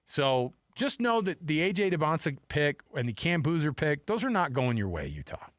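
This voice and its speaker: A man's voice: fairly high pitch, sing-song, moderate pace, a bit bright, dry recording, studio quality. Emphatic American man hosting sports radio. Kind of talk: opinionated sports talk commentary. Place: radio studio.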